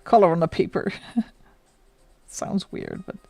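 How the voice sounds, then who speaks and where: A woman's voice: on the low side, wildly swooping pitch, takes it slowly, vocal fry, darkish tone, dry recording, faint music behind. A middle-aged woman from the Netherlands with a Dutch accent, chatting casually and laughing often while she colours on a livestream. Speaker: livestream host.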